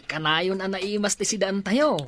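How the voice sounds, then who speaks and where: A woman's voice: mid-range voice, moderate pace, a bit bright, a little hiss or hum, close to an everyday voice. A woman playing an agreeable schoolchild in a comedy drama, with an Ilocano Filipino accent. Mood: appreciative. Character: agreeable schoolchild who praises mother.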